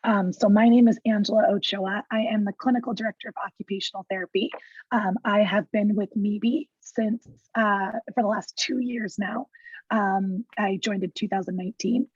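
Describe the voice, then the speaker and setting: A woman with a scratchy, hoarse voice, introducing a webinar as a clinical director with many ums and uhs. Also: medium pitch, steady tone, moderate pace, darkish tone, no room sound, lo-fi sound. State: hoarse, lost voice. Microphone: video call.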